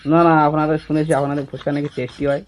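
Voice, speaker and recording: Fast-talking teasing man on a call. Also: a little high, little pitch movement. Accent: West Bengali. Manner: teasing, persistently questioning. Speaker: prank caller. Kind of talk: casual prank phone call. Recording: phone call with faint noise.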